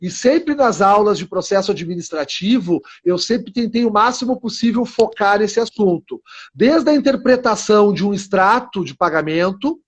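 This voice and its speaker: High-pitched eager male host. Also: lively intonation, normal speed, breathy edge. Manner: eager, rambling with restarts, affirming. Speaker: host lawyer. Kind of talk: live-stream interview.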